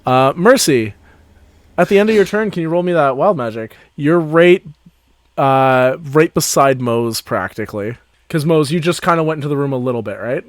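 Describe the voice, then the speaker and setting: A man with a fairly high voice works as game master. He narrates and rules on play in a casual, descriptive style, recorded dry and close. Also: animated, normal speed, no echo. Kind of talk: improvised tabletop game narration.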